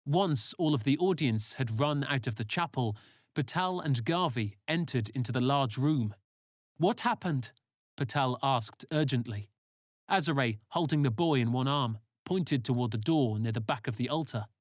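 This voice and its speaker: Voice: mid-range voice, moderate pace, no echo, clean studio sound. An author reading their own story aloud as scripted audiobook narration.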